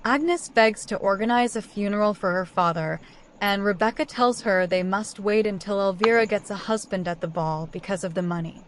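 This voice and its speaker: A woman's voice: medium pitch, moderate pace. Woman narrator over noticeable background noise. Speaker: story narrator. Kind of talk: scripted story recap narration.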